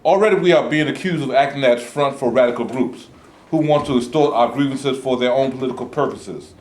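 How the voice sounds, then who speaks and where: A man's voice: mid-range voice, brisk, a little mellow, close to an everyday voice. A man playing a cautious, principled protest movement leader in a staged reading, speaking formally and earnestly. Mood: firm, troubled. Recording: echoey room, live staged reading.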